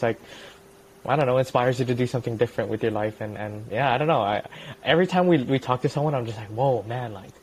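Relaxed young man co-hosting a podcast. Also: medium pitch, poor audio. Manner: halting, repeats words, relaxed. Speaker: podcast co-host. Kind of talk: casual podcast chat.

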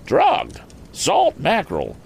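Man acting out an excitable, folksy old farmer. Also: high voice, takes it slowly, gravelly, voice pushed up, full character voice. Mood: excited, urgent. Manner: folksy country talk, exclamatory. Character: excitable rustic old farmer, tall, suspicious then eager.

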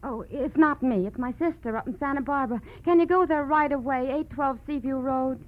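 A woman's voice: high voice, brisk, close to an everyday voice. An actress playing an evasive, hesitant American woman, a shifty client in a 1940s radio detective drama. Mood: evasive, nervous. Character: shifty, indecisive young female would-be client. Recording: dull, faintly noisy old radio recording.

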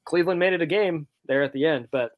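A man's voice: a little high, fast, no room sound, clean studio sound. A man chatting casually as a soccer fan podcast panelist, talking loosely with many filler words. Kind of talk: casual chat.

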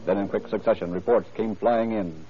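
A man narrating an old radio crime drama in a formal, measured storytelling manner. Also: on the low side, fairly even intonation, natural voice. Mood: grave, matter-of-fact. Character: male narrator of a true-crime police drama. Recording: dull old radio recording, music behind.

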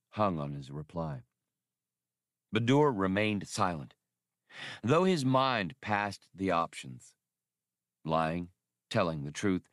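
Guy reading a sci-fi novel, really slow and measured, super dry sound. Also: deep voice, dry recording. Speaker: audiobook narrator. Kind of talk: scripted narration of a science fiction adventure novel.

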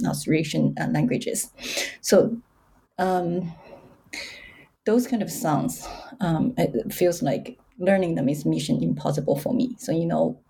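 A woman's voice: on the low side, vocal fry, mellow, breathy edge, poor audio. A woman interviewer whose first language is Mandarin Chinese, asking questions in a chatty, filler-heavy way.

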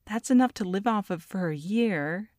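A woman's voice: medium pitch, lively intonation, normal speed, darkish tone, dry recording, clean studio sound, natural voice. A woman voicing a feisty, scheming goblin mother in an audio roleplay, sassy and haughty as she talks to a captive. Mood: scornful, teasing. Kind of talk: ASMR audio roleplay monologue.

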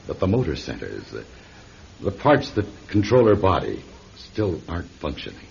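A man playing a calm doctor in a radio drama, measured, reassuring and firm, with gentle authority. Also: low voice, vocal fry, full character voice. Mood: gentle, careful. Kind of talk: classic radio drama acting. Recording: dull, noisy old radio recording.

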